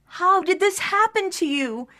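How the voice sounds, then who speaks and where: Woman acting a high-voiced, pleading young princess. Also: lively intonation, a little slow, sharp tone, full character voice. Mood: distressed. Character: distressed, caring young princess in a fairy tale.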